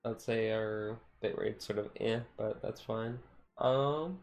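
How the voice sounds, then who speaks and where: A man's voice: on the low side, slow pace, darkish tone. A man streamer chatting casually and rambling in a self-deprecating way as he talks to his live viewers. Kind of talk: casual live-stream chat while sorting cards.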